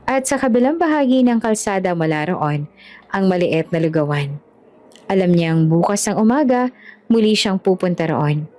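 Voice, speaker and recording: A woman's voice: lowish voice, animated, normal speed, a little mellow. A woman reading a scripted romance story as the narrator of an audiobook. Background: background music.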